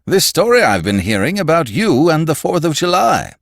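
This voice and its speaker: A man's voice: fairly high pitch, big pitch swings, normal speed, vocal fry, no echo, studio quality, voice pushed up. A man voicing a genial, fussing older magazine publisher in a storybook, with a hearty, cajoling, emphatic manner. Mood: demanding.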